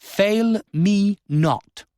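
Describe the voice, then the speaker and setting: A cold, commanding countess of high rank, put on by a male reader, her voice lifted well above his own and each word set down hard. Her tone is brittle and forceful, and the recording sits close and dry with no room around it. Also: expressive, measured pace, no room sound. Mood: icy. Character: imperious commanding noblewoman, a countess.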